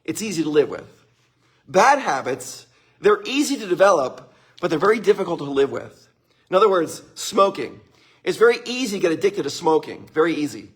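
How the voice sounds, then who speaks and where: Upbeat middle-aged male motivational speaker, husky and fairly high for a man, live-stream feel. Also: sing-song, fairly quick. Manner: upbeat, greets viewers by name, laughs often.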